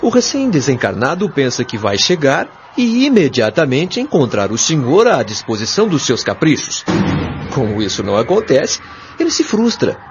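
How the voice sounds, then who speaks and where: Man acting as solemn, expressive Brazilian spirit mentor. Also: on the high side, noisy background. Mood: grave, explanatory. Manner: didactic, articulate, solemn. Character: patient, authoritative male spirit guide and mentor. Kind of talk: audio drama acting, expository dialogue. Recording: dull lo-fi audio over music.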